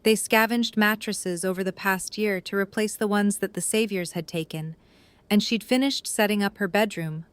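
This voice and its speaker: A woman's voice: mid-range voice, normal speed, smooth, no room sound. A woman reading scripted story narration for an audio drama.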